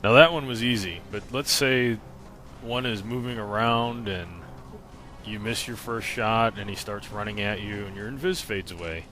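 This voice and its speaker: A man's voice: lowish voice, moderate pace, bright, forceful tone. A man giving casual, plain, instructional video game walkthrough commentary in an informal way. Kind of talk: casual explanatory gameplay commentary. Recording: voice-over with clear game noise behind.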